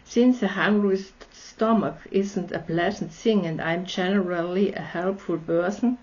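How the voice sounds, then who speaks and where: A woman's voice: on the low side, fairly even intonation, unhurried, a little mellow. A woman from Austria with an Austrian accent, a German native speaker, hosting her channel and reading a story aloud with friendly, chatty asides. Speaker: channel host. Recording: slightly dull lo-fi audio.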